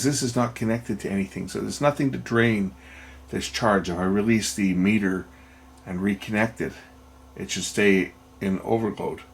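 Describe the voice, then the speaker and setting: Male repair-video host, creaky low register, plain explanatory delivery, unscripted at the workbench. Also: a little hiss or hum. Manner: matter-of-fact, explanatory, thinking aloud. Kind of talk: unscripted hands-on repair commentary.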